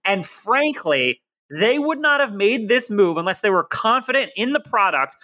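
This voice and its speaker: Podcast guest: a nasal man, high-pitched, confident and explanatory. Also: sing-song, moderate pace, sharp tone. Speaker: podcast guest, entertainment writer. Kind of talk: casual podcast chat.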